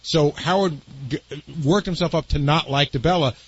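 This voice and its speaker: A man's voice: fairly high pitch, moderate pace, breathy edge, muffled recording. A man who is a radio show regular, explaining things in casual conversation.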